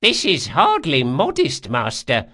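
A man voicing a brusque, sharp-tongued old woman, a master artificer in a fantasy audiobook. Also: a little high, huge pitch swings, a little slow, breathy edge, voice pushed up, full character voice. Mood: intrigued. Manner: brusque, exclamatory, scolding.

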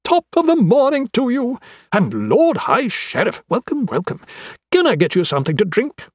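Excitable, high-pitched eccentric anatomist. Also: male voice, moderate pace, slightly rough, dry recording, clean studio sound, exaggerated voice. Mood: excited greeting. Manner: chatty, effusive, relishing grisly detail. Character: cheerful eccentric anatomist, youthful, macabre, gleefully excitable man.

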